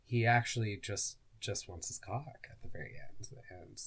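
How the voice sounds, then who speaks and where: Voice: mid-range voice, normal speed, a bit bright, breathy edge. A podcast co-host chatting casually about stories, phrasing things loosely with lots of "like". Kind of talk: casual chat discussing fan fiction.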